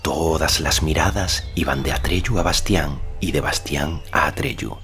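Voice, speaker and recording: A man with an exceptionally deep voice narrates a novel as an audiobook. His delivery is measured and solemn, in a scripted storytelling style, with faint music underneath. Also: a bit bright.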